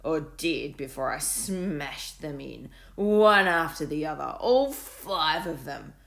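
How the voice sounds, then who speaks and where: A woman's voice: deep voice, lively intonation, creaky, fairly bright tone, exaggerated voice. Woman playing a gloating schoolboy bully. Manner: slow, savouring, taunting. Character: gloating bully schoolboy who relishes violence.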